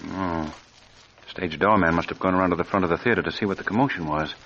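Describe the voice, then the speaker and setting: An actor playing a suave, self-assured amateur detective in a 1940s radio mystery, speaking crisply and with command. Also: male voice, sits low, fast, a bit bright. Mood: urgent, probing. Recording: dull, noisy old radio recording.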